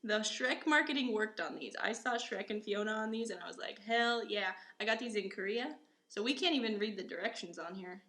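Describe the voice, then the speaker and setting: A woman hosting a podcast in casual chat, easygoing and quick to laugh as she asks follow-up questions. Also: mid-range voice, animated, sharp tone, reverberant. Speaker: podcast host.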